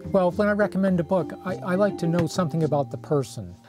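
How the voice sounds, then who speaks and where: A man in his sixties who owns a bookshop, speaking in a documentary interview on a lapel mic and pausing often with fillers. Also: sits high, animated, music in the background. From United States. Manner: hesitant, many uh fillers. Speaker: bookshop owner. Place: wooden barn bookshop, indoors.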